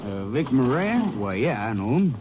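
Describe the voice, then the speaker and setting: An actor playing a small-town banker who talks hesitantly and slowly checks records. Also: male voice, medium pitch, sing-song, muffled recording, music in the background, lightly acted. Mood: helpful. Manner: hesitant, spelling things out slowly. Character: slow, helpful male small-town banker.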